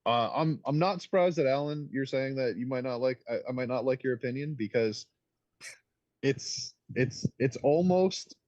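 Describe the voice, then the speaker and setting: A man's voice: medium pitch, normal speed, darkish tone, no echo, highs cut off. A man co-hosting a casual podcast, sharing candid music opinions and laughing often. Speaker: podcast co-host.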